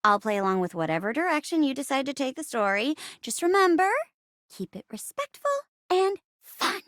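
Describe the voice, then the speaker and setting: A woman's voice: high voice, very animated, normal speed, fairly bright tone, dry recording, clean studio sound. A woman voicing a bashful, eager-to-please living chair in a video game, giggly and theatrical, stammering when flustered. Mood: eager, playful. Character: bubbly, bashful, eager-to-please living chair who loves play-acting.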